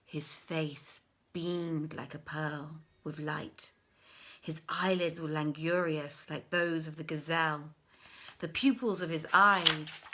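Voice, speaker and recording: A woman reading a literary tale as a dramatic storyteller, with measured, deliberate phrasing and theatrical pauses. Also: deep voice, pushed and bright. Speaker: storyteller, audiobook reader.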